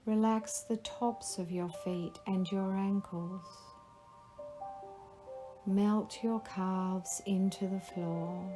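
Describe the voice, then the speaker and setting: A woman guiding a relaxation meditation, giving slow, soothing instructions. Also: medium pitch, fairly even intonation. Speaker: meditation guide. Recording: faint music behind the voice.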